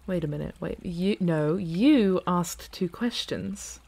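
Slow-paced, playful dragon royal played by a woman. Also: on the low side, vocal fry, a little mellow, exaggerated voice. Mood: teasing. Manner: casual, teasing, halting, conversational. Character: earnest, playful young female dragon royal in human form. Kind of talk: fantasy ASMR roleplay acting.

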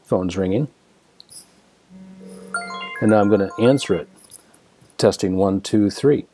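An American man narrating a step-by-step software demonstration in a methodical, explanatory way. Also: fairly low pitch, measured pace, soft background music. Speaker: software tutorial presenter.

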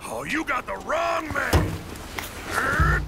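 A man's voice: very high-pitched, lively intonation, slow pace, very noisy, heavily acted. A man playing a blustering, easily riled, comical old man in a video game cutscene. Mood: angry, blustering. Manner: blustering, shouty.

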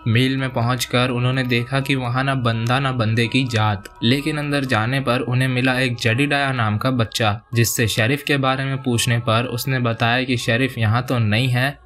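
A man's voice: mid-range voice, a bit bright. A man narrating a scripted film recap in a colloquial, casual storytelling way with slangy asides.

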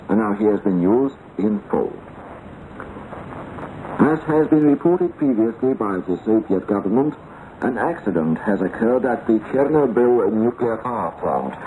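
Low male newsreader, formal bulletin, thin tinny shortwave sound. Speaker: radio newsreader. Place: radio studio, heard over shortwave. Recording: noisy band-limited 1980s shortwave radio.

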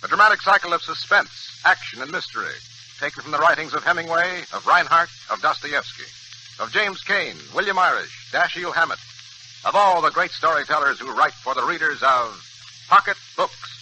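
A man's voice: fairly high pitch, expressive, normal speed, pushed and bright, a little hiss or hum, rough recording. A man announcing a dramatic series in an emphatic 1940s radio style. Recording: old 1940s radio, faint music behind.

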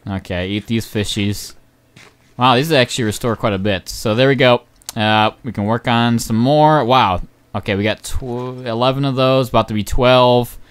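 Hoarse young man rambling over gameplay. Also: medium pitch, moderate pace. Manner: rambling, excitable, swears, many ums and uhs. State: voice going hoarse. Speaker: gaming channel host. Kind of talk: casual gameplay commentary.

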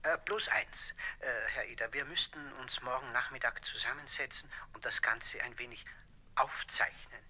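An actor playing a courteous, brisk professor, heard through a telephone filter in a radio play. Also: male voice, medium pitch, fairly even intonation, bright, forceful tone, husky. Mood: polite, brisk. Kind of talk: German radio play acting.

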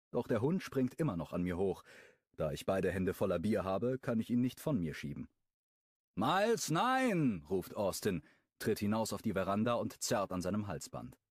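A low-voiced man reads a first-person romance novel with a wry, expressive delivery and a lot of melodic movement. The sound is close and completely dry, like a studio booth. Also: normal speed, husky, dry recording. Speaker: audiobook narrator.

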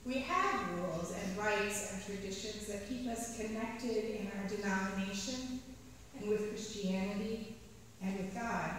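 A middle-aged woman priest reading a sermon from the pulpit, measured, slowing down to stress key phrases. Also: medium pitch, slight background noise. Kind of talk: read sermon. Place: large church sanctuary. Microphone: pulpit mic at a distance. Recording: echoey church hall from far off.

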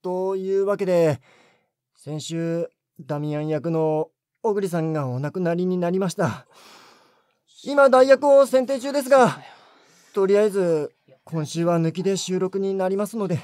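An actor playing a brisk, polite recording director in an audio drama, businesslike in manner. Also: male voice, high voice, darkish tone, clean tone, dry recording, studio quality, lightly acted. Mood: matter-of-fact. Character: businesslike recording director running a studio session.

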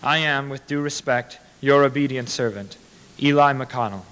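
A man's voice: medium pitch, moderate pace, fairly bright tone, clean tone. A man in his twenties formally reading historical letters aloud at a podium microphone in a hall. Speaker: dramatic reader. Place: large indoor hall with brick walls.

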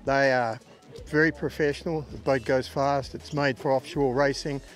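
A man, a yacht skipper giving a brisk, positive race summary. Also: mid-range voice, steady tone, soft background music. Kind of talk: post-race interview.

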